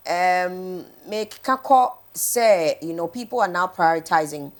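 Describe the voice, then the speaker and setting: Low-pitched Ghanaian woman hosting. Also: lively intonation, unhurried. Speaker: morning TV host. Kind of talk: casual TV chat, code-switching.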